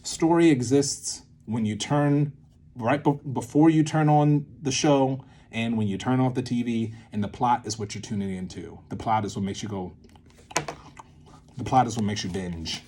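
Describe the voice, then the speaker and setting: A man giving an informal creative lesson to camera, thinking aloud in an animated way with swings in emphasis. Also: medium pitch, moderate pace, a little hiss or hum. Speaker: creator and host teaching a creative method. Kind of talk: informal instructional monologue to camera.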